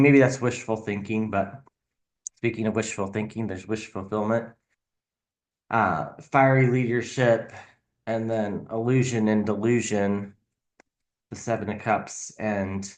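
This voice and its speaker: A man casually reading tarot cards as a channel host, speaking in a halting, thinking-aloud way and offering speculative commentary. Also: on the low side.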